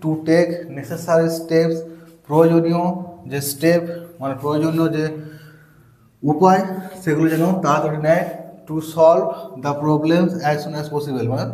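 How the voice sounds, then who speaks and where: A man's voice: on the high side, fairly even intonation, dark tone, slight background noise. A man with a Bengali accent teaching a bilingual English lesson in a didactic, repetitive way, reading out and translating phrases. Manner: didactic, repetitive, slow word-by-word dictation of English. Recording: echoey room.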